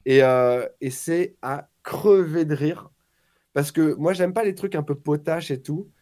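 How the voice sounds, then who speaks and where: A man's voice: fairly high pitch, unhurried. A man in his forties, a guest on a casual podcast chat, telling stories with energy and acting out quotes. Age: 40s. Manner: animated storyteller, acts out quotes.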